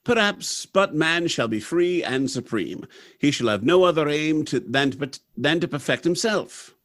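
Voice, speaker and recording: A man playing a confident, jovial, gentlemanly factory director in a 1930s-style radio drama. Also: on the high side, expressive, unhurried. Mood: idealistic. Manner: amused, self-assured, gentlemanly.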